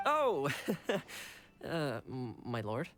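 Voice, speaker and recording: A woman's voice: a bass voice, wildly swooping pitch, very slow, fairly bright tone, exaggerated voice. A woman playing an earnest, admiring young retainer in a video game, polite and deferential. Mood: flustered. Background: game music.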